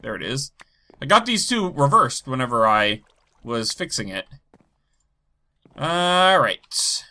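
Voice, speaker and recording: A man with a head cold, stuffy and a bit low energy, rambling as he talks through his game and thinks aloud. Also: very animated, slow, pauses often, bright, forceful tone. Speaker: Minecraft let's play host. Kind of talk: casual gameplay commentary.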